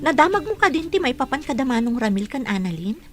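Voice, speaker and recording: A woman plays a gossipy but sympathetic village friend in an Ilocano radio drama. Her voice is somewhat high, with an Ilocano accent. She talks in a confiding, insistent way. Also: big pitch swings, fast talker, fairly bright tone, soft background music, exaggerated voice.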